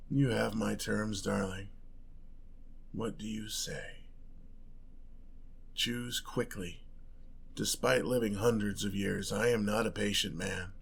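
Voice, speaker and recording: A man voicing a centuries-old, sadistic and possessive vampire lord in an ASMR audio roleplay, with a breathy voice, menacing and condescending in his endearments. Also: on the low side, a bit bright, full character voice. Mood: menacing, taunting. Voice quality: breathy, often dropping toward a whisper.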